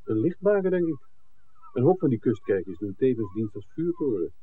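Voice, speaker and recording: An actor playing a level-headed screenwriter with a dry, teasing, sceptical way of talking in a 1960s Dutch radio play. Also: male voice, medium pitch, big pitch swings, normal speed, a little hiss or hum, lightly acted. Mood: wry, measured. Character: wry, level-headed male screenwriter, companion to the director. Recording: thin, muffled 1960s radio recording.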